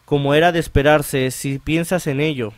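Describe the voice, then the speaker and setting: A man's voice: fairly high pitch, fairly even intonation, normal speed, no room sound. A man hosting a let's play, reading visual novel narration aloud and now and then stumbling and rereading phrases.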